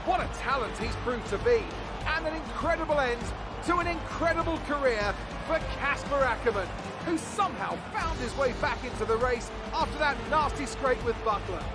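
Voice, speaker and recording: An excited motorsport commentator calls the race at a very high pitch. His delivery is dramatic and urgent, with loud music and engines behind him. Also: normal speed. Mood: triumphant. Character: excited male Formula One race commentator. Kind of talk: live motorsport television commentary.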